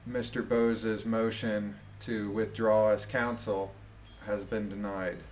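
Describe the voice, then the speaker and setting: A man reading a document aloud and adding informal commentary in a deliberate, musing way. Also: lowish voice, level tone, slight background noise.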